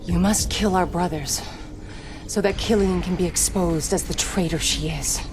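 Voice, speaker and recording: An actress playing a fervent, suspicious, fiercely loyal military officer in a video game cutscene, with intense, clipped, theatrical delivery. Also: female voice, on the low side, moderate pace, background noise, lightly acted. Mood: scheming. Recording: loud music under the voice.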